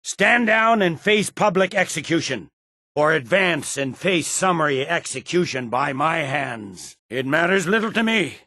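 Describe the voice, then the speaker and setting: An actor voicing a stern, battle-hardened Imperial general in a fantasy video game, with a curt and commanding manner. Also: male voice, fairly high pitch, expressive, unhurried, pushed and bright, no room sound, studio quality, full character voice. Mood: stern, proclaiming. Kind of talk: video game character dialogue.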